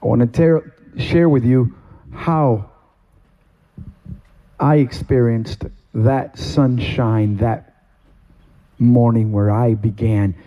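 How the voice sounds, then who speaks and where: A middle-aged man from Cuba, a pastor giving a sermon testimony from a stage, with slow, deliberate, emphatic phrasing. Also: mid-range voice, fairly even intonation, muffled recording. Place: stage in a meeting hall.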